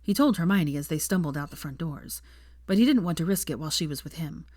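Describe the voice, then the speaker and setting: A woman's voice: low voice, lively intonation, fast talker, a little mellow, dry recording, clean studio sound. A woman reading a story aloud as scripted audiobook narration. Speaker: fan fiction audiobook narrator.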